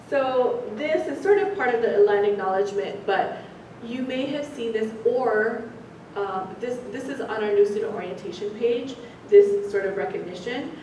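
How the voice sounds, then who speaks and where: An American woman presents informally to new students in a chatty, halting way. Her voice sits at a middling pitch. It comes from a distance in a very echoey room, with faint rumble underneath. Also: dark tone. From San Francisco Bay Area, United States; born in the Philippines. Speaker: orientation presenter, university associate director.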